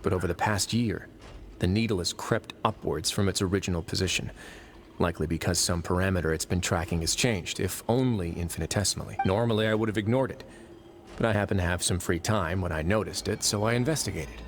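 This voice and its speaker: An actor playing a calm, authoritative and wry warden of a prison fortress in a video game, speaking in measured, formal explanations. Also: male voice, low voice. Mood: measured, explaining. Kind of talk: English video game voice acting. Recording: game music playing behind the voice.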